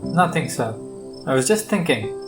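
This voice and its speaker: A man's voice: a little high, sing-song, normal speed. A man playing a troubled young male student in an audio drama, speaking respectfully and hesitantly. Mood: subdued. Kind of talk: scripted audio drama acting. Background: music.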